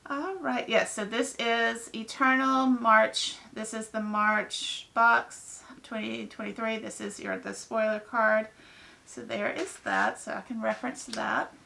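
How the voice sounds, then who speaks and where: A woman with a hoarse, raspy voice from a head cold, chatting casually through an unboxing with lots of ums. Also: fairly high pitch, fairly bright tone, slight background noise. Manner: chatty, rambling, many ums. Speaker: book vlogger.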